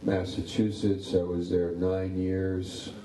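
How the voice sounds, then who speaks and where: Low-voiced man in his sixties, roomy. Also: completely flat delivery, slow pace, warm tone, distant mic. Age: 60s. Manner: rambling, repeats words, upbeat. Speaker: pastor. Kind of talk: casual interview chat.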